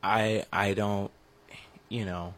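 Twenties guy w a crackly raspy voice, kinda low, goofing around on a sports show. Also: level tone, slow pace, a bit bright. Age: 20s. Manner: joking, self-deprecating. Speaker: sports radio co-host. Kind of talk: casual banter.

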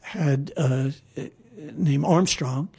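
A man's voice: a little high, very slow, vocal fry, dark tone, slightly rough. A man telling historical stories in a casual conversation, rambling with frequent fillers and restarts. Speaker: guest storyteller. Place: lives in Hawaii.

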